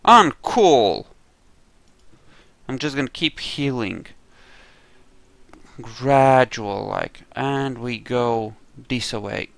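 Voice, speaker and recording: A man with a clear, smooth voice commentates on a game he is playing. He speaks at an unhurried pace, thinking aloud as he goes. His manner is offhand and peppered with casual swearing. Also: mid-range voice, lively intonation, a bit bright, no room sound. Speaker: gamer narrating his own playthrough.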